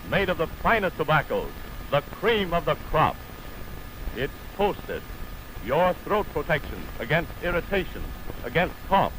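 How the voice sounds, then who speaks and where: A man's voice: on the high side, background noise. An American man reading a scripted advertisement as a radio announcer in a formal, declamatory 1930 style, on an old broadcast recording. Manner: formal, declamatory, measured enunciation. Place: radio studio.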